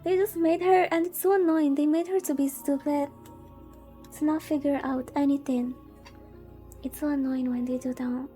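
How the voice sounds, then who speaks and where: Girl streamer w high soft-toned voice reading a visual novel n reacting to it, bit of music in bg. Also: fairly even intonation, moderate pace. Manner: exclaiming, playful asides. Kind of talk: livestream chat mixed with story reading.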